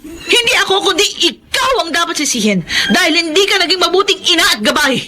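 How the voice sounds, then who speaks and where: A woman's voice: very high voice, very animated, fairly quick, bright, forceful tone, exaggerated voice. A woman with a Filipino accent playing a jealous, combative betrayed wife in a melodramatic radio drama, scornful and accusing. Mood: bitter, furious. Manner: scornful, confrontational.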